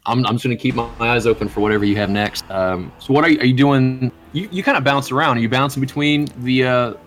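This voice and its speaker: A man hosting a podcast in relaxed, casual conversation. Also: medium pitch, fast. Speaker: podcast host.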